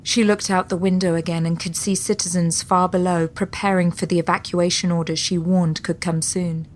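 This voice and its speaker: A woman's voice: fairly low pitch, flat delivery, normal speed, slight background noise. A woman delivering scripted dramatic narration as the storyteller of an audio drama.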